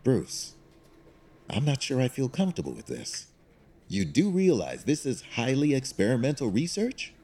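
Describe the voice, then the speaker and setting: A man plays a fatherly older executive, a shrewd and loyal advisor in a radio play. The voice is mellow and husky, with very animated, swooping intonation and a wry, deliberate delivery. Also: mid-range voice. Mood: uneasy. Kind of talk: audio drama acting.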